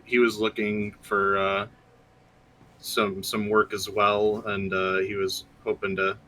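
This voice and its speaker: Bright, low-voiced male tabletop player. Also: poor audio. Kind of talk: casual improvised roleplay.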